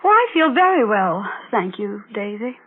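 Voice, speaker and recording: An actress playing a jealous, possessive young woman in a 1940s radio melodrama, speaking in a deliberate, brooding way. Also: medium pitch, very animated, slight background noise, lightly acted. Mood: cold, bitter. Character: jealous, possessive, menacing sister, coldly intense young woman. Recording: tinny old radio recording with music.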